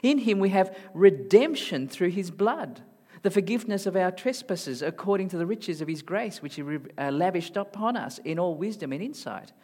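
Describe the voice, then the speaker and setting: A man from Malaysia with a mixed Malaysian, Singaporean and Australian accent, preaching a sermon emphatically and rising to loud high emphasis. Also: expressive, normal speed. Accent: mixed Malaysian, Singaporean and Australian English. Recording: hall reverb.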